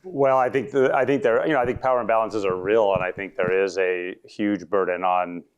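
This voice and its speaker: A man with a deep voice, a law professor answering audience questions at a talk in an earnest, conversational way that often backs up and corrects itself. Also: fairly even intonation, brisk, clear, resonant tone, lo-fi sound. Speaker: law professor, panel speaker.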